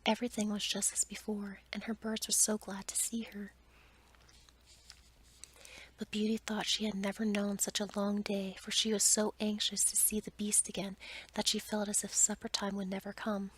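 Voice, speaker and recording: A woman reading a bedtime story in a soft, breathy ASMR whisper, gentle and hushed. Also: medium pitch, monotone, normal speed.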